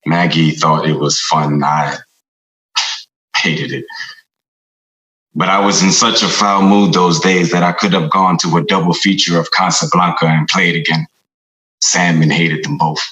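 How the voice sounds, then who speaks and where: An actor with an American accent playing a guilt-ridden, hard-drinking former Marshal and veteran, brooding and halting through a confessional dramatic monologue. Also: male voice, deep voice, fairly even intonation, sharp tone. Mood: bitter, remorseful. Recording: roomy echo under music.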